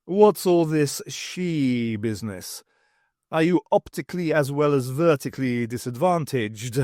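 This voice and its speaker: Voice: medium pitch, expressive, a little slow, mellow, no room sound, studio quality, natural voice. An audiobook narrator voicing a big talking tomcat, haughty and menacing, with a purring, sardonic manner. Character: large fluffy male talking cat, haughty and menacing.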